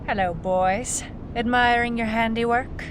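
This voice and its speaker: A woman's voice: on the high side, steady tone, measured pace, noisy background, close to an everyday voice. A woman playing a wry, hard-boiled private eye, clipped and dry, in a noir detective audio drama. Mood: tough, taunting. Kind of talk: noir detective narration and radio-drama acting.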